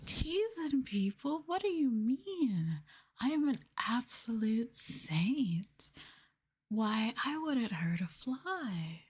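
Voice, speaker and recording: A woman talking in a soft, breathy voice that often drops to whispers, playful and teasing, chatting casually as an ASMR host close to the mic. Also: fairly high pitch, very animated, slow pace. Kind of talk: soft-spoken ASMR, casual chat with viewers. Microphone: sensitive mic up close.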